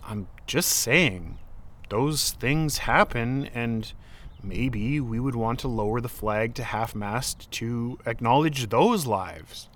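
A man's voice: mid-range voice, takes it slowly, a bit bright. An actor playing a defensive, exasperated man arguing earnestly in a naturalistic audio drama.

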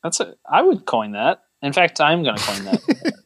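A man's voice: a little high, huge pitch swings, normal speed, fairly bright tone, breathy edge, dry recording, studio quality. A man hosting a comedy podcast, riffing playfully in casual banter and acting out imagined quotes. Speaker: podcast host.

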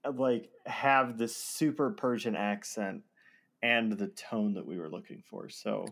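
Man, voice actor guest, casual chat, self-deprecating, laughs often, halting restarts. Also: on the low side, creaky, a bit bright. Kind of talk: casual conversation.

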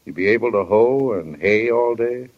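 A man's voice: fairly low pitch, expressive, moderate pace, lightly acted. A man playing a stern but kindly small-town father in a 1940s radio drama. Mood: gently reproving. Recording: dull 1940 radio recording.

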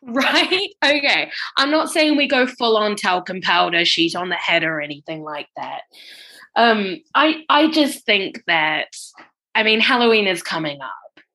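A woman's voice: mid-range voice, animated, measured pace, pushed and bright, heavily acted, rough recording. A woman playing an eager, kind-hearted newcomer ghost in an improvised comedy sketch, chatty and a little hesitant.

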